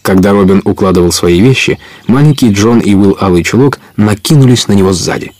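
A man's voice: fairly low pitch, a little fast, a little mellow. A man narrating an adventure audio play in a scripted storytelling style.

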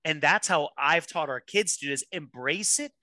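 A pastor talking openly as a podcast guest, quick to laugh at himself. His voice sits on the higher side and sounds bright and forceful. The sound is close and dry, with no room around it. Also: expressive, no room sound. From Miami, USA. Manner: candid, self-deprecating, quick to laugh. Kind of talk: casual interview conversation.

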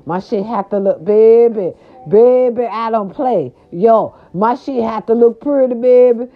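A grandmother from California talking casually to her viewers, animated and chatty, with salty slang and lots of "right, right" fillers. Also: a little high, measured pace. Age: older. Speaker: vlogger. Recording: dull lo-fi sound.

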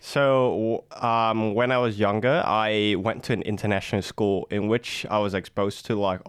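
A man's voice: lowish voice, fairly even intonation, moderate pace, clean tone. Hesitant young man. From Vietnam. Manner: hesitant, with many fillers and restarts. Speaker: interview guest. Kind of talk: casual interview chat.

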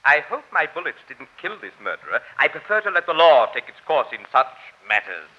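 A man's voice: on the high side, sing-song, brisk, slightly rough, highs cut off. A man playing a small, fussy, pompous would-be crime-fighter with florid formal diction in an old-time radio drama. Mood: self-satisfied, precise. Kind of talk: 1940s radio acting.

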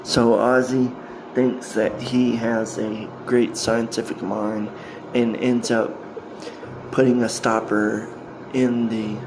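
Male podcast host, very slow halting delivery, even monotone, noisy home recording. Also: on the low side. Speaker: podcast host introducing old-time radio shows. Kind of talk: spoken introduction to episodes. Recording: home recording with clear background noise.

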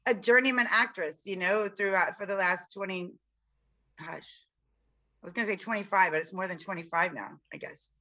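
A middle-aged woman with a deep raspy voice, chatting casually as a podcast guest and telling self-deprecating stories about her acting career. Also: normal speed, bright, forceful tone. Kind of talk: casual chat.

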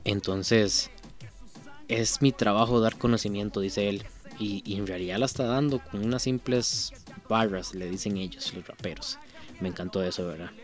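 Costa Rican man, earnest casual commentary, lowish voice, faint music behind. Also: moderate pace. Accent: Costa Rican Spanish. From Costa Rica. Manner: earnest, thinks aloud with many restarts. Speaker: reaction video host. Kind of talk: casual commentary reading out lyrics.

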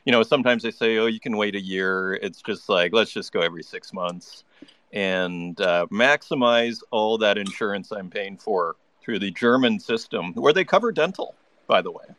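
A man's voice: on the low side, normal speed, fairly bright tone. A man hosting a live online audio interview, chatty and enthusiastic, often restarting his sentences. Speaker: podcast host.

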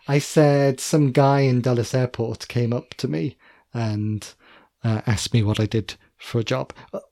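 A man's voice: mid-range voice, a little mellow, no room sound, studio quality. A man co-hosting a casual podcast with his wife, chatty and prone to repeating himself as he corrects his own slips. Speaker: podcast co-host. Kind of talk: casual chat.

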